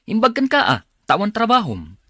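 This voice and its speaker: An actor playing a working younger brother who defensively makes excuses, with an Ilocano accent, in a radio drama. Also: male voice, high voice, wildly swooping pitch, moderate pace, pushed and bright, no echo, clean studio sound, full character voice.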